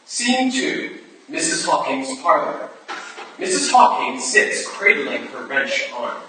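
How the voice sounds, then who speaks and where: A man's voice: on the high side, big pitch swings, slow. A man reading stage directions as scripted narration at a staged play reading, recorded from far off in a noisy, echoey hall.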